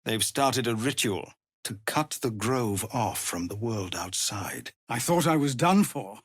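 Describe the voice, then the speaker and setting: Slow, weary tiefling ex-soldier. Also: male voice, medium pitch, animated, husky. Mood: grave, measured. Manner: measured, formal, earnest, grave. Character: weary, dignified tiefling ex-soldier leading refugees. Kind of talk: video game character dialogue.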